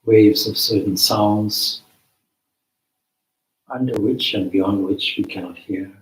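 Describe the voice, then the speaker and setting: A man leading a guided meditation as a teacher, with measured, deliberate phrasing and long pauses. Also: fairly low pitch, steady tone. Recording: roomy, echoing space.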